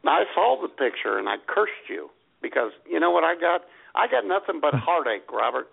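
A man's voice: fairly high pitch, sing-song, fairly quick, vocal fry, husky. A man with an American accent co-hosting an internet radio show in casual, comic chat. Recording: thin, phone-like internet radio audio.

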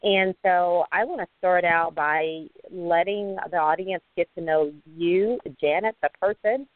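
A woman's voice: on the low side, dry recording. A woman hosting an online radio talk show, chatty and upbeat with many fillers. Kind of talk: casual online radio interview. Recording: dry tinny phone line.

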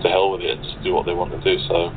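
A man's voice: low voice, completely flat delivery, rapid-fire, narrow, tinny audio, slight background noise. A man with an English accent chatting casually in a hesitant, hedging way over thin remote call audio. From England. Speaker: cast member being interviewed. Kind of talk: casual chat.

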